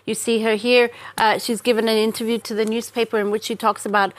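A woman's voice: a little high, flat delivery, fast talker. A woman reviewing newspapers live on a news show, explaining as she goes with frequent hesitations. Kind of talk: live press review, semi-scripted commentary.

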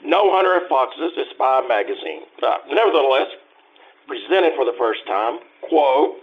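A man's voice: mid-range voice, big pitch swings, measured pace, creaky, phone-quality sound. A man playing a pompous, bossy magazine publisher with a grand declaiming manner, in an old-time radio style. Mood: grandiose.

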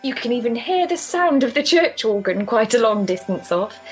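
Actress as high, husky genteel Victorian lady. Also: sing-song, normal speed, dull audio. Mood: earnest, inviting. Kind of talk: period stage drama acting. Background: music.

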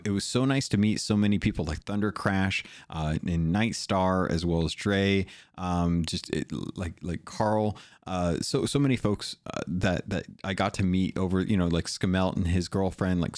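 Low-voiced man, casual rambling podcast host. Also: a little mellow, no echo, clean studio sound. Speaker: podcast host and content creator. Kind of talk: casual unscripted talk about a gaming fan convention. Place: home office.

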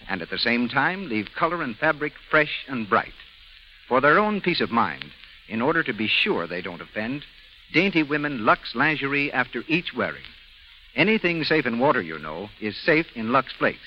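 A man announcing and pitching on 1930s radio in a polished, formal manner. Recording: dull, faintly noisy 1930s radio recording.

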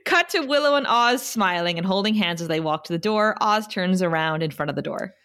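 Animated woman narrating stage directions. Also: fairly low pitch, fairly quick. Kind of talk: scripted narration at a table read.

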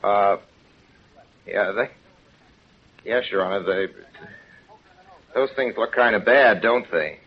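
An actor playing an earnest, plain-spoken small-town young man in a 1930s radio drama, folksy and halting. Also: fairly low pitch. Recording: dull, noisy 1930s radio recording.

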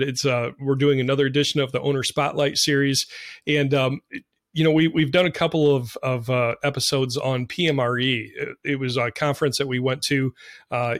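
A man's voice: mid-range voice, a bit bright, dry recording, clean studio sound. A man hosting a podcast, upbeat and chatty, laughing often as he introduces and questions his guest. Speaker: podcast host. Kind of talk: casual podcast interview.

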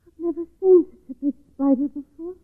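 A woman's voice: high-pitched, a little fast, no echo, natural voice. An actress playing a timid, frightened young girl in a 1940s radio drama. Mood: nervous, reluctant. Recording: thin, phone-like 1940s radio recording.

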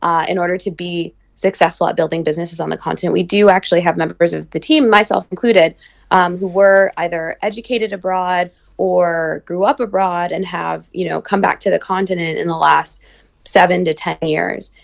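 A woman's voice: on the low side, steady tone, moderate pace, creaky. A woman who grew up between the United States and Nigeria, founder of a venture capital firm, answering interview questions thoughtfully, with frequent fillers and restarts.

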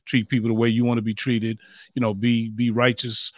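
A man hosting a casual interview show, chatting warmly and calling his guest brother and man. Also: mid-range voice, level tone, brisk. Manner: warm preacherly asides, calls people brother and man. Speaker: talk show host.

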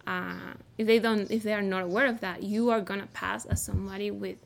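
Gravelly woman with a Mexican accent. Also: mid-range voice, steady tone, a little fast, a little hiss or hum. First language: Spanish. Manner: reflective, searching for words. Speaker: guest. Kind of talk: casual interview conversation.

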